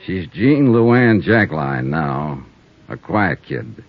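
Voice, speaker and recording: A man's voice: a bass voice, very animated, slow pace, exaggerated voice. An actor playing a hard-boiled male insurance investigator in a 1950s radio drama, questioning coolly and narrating with a world-weary edge. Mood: wry. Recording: muffled 1950s radio transcription.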